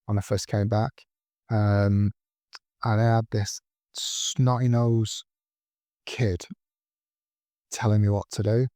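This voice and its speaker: A man's voice: lowish voice, flat delivery, takes it slowly, pauses often, mellow, dry recording, clean studio sound. A man in his forties hosting a casual interview, telling rambling anecdotes with plenty of ums. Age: 40s. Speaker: podcast host.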